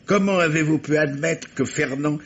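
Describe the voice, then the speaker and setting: An actor playing a wily, insistent police commissioner in an old French radio mystery, phrasing hesitantly and repetitively, then with command. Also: male voice, fairly high pitch, moderate pace, close to an everyday voice. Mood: methodical probing. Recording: muffled vintage radio recording, faint noise.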